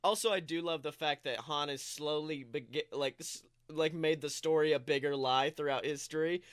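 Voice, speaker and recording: Excitable, high-pitched, bright-voiced man. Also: normal speed, clean tone, no room sound, studio quality. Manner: excitable, slangy, freely swearing; self-described nerd, animated. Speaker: podcast co-host. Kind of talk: casual film review chat.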